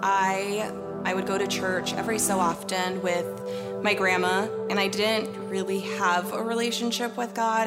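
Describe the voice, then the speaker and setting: A woman in her twenties, a single mother, telling her faith story candidly and from the heart. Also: mid-range voice. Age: 20s. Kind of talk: testimony interview. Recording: loud music underneath.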